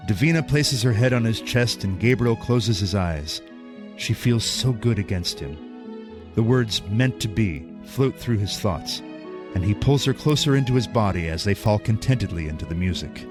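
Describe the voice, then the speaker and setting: A man's voice: fairly low pitch, expressive, moderate pace, music in the background. A man narrating an audio drama from a script as its storyteller.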